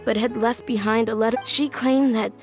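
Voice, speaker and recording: Actress as a wistful, higher-voiced gentle woman. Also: fairly even intonation, moderate pace, close to an everyday voice. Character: wistful gentle adult woman, sincere and subdued. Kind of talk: game voice acting. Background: game music.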